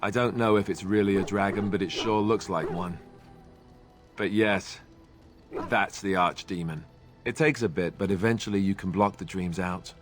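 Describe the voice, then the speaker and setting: An actor playing a wry, self-deprecating young warrior in a video game, talking in an easygoing, joking way. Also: male voice, low voice, normal speed, faint music behind, lightly acted. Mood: reassuring. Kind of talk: video game cutscene dialogue.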